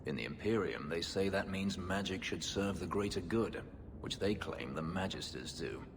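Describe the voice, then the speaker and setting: A man voicing a brooding, bitter warrior in a video game, speaking in a measured, grave, dryly sardonic way. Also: low voice, animated, big-room sound, background noise. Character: brooding, bitter male warrior from a slave-keeping empire.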